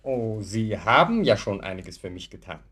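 Radio play visitor: self-assured and challenging, slightly deep, rough-edged voice, deliberate and unapologetic. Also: male voice, big pitch swings, fairly bright tone. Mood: cool, challenging. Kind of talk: German radio play acting.

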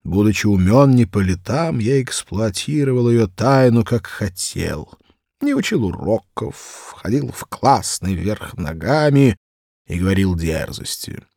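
A man narrating a classic story for children as an audiobook, expressive and theatrical, with wry, deliberate phrasing. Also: on the low side, gravelly, no room sound, clean studio sound. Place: dry recording studio.

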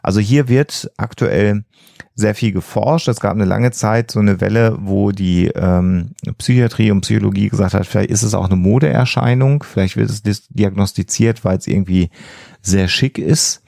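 A man explaining psychology on a podcast in a measured way, hesitating as he searches for precise wording. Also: on the low side, gravelly, warm tone, no echo, studio quality.

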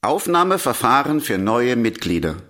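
An actor playing an impersonal official who reads out forms in a clipped, matter-of-fact way in a radio drama. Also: male voice, mid-range voice, very animated, normal speed, a bit bright. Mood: impersonal, businesslike. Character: impersonal official reading out church membership forms. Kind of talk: scripted bureaucratic reading in a radio drama.

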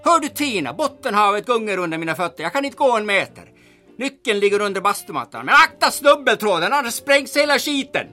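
Blustering, muddled old forger, wildly animated. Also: male voice, sits high, soft background music, full character voice. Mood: muddled, alarmed. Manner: rambling, grumbling, blustering. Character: muddled, cranky older male forger roused from drink. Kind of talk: old-time radio comedy acting.

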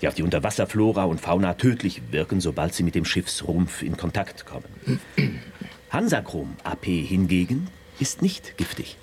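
A man's voice: low-pitched, sing-song, normal speed, darkish tone, a little hiss or hum. An actor playing a hesitant male chemist in his forties in a radio drama. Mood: explaining. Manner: hesitant, with fillers and nervous laughs.

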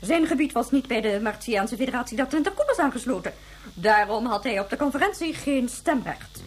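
A woman's voice: a little high, sing-song, brisk. A woman playing an assertive, knowing dignitary in a science-fiction radio drama, speaking formally and emphatically. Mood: disdainful.

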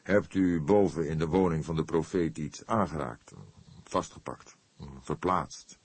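A man's voice: very deep voice, unhurried, darkish tone, slightly rough, dry recording, full character voice. A man voicing a curt, businesslike old police detective in a crime audiobook. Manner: curt, dry.

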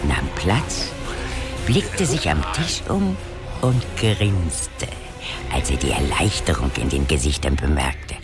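A man's voice: very deep voice, animated, slow, loud music behind the voice, exaggerated voice. A man narrating a scripted fantasy radio drama as its storyteller.

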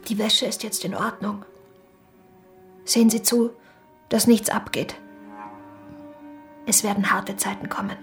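An actress playing a proud young shopkeeper's daughter in a radio drama, blunt and reproachful, sometimes dropping to a hushed murmur. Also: female voice, medium pitch, steady tone, a little slow, gravelly, long pauses, faint music behind. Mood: bitter, grieving.

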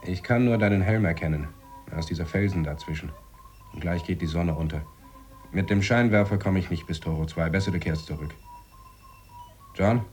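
A man's voice: deep voice, moderate pace, long pauses, darkish tone, faint music behind, natural voice. An actor playing a sardonic, worried astronaut who monitors his partner in a German science-fiction radio play, speaking in a dry, sceptical, pressing way.

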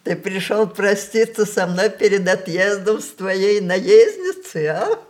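A woman's voice: medium pitch, lively intonation, a little slow, a little mellow, natural voice. An actress playing a shrewd old grandmother in a radio play, commanding and playful. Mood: teasing. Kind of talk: Russian stage radio play acting.

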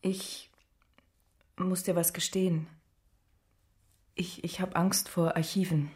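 An actress playing a coaxing, insecure young doctoral student in naturalistic radio drama dialogue, speaking with some hesitation. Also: female voice, on the low side, level tone, takes it slowly, darkish tone. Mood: confessing, nervous.

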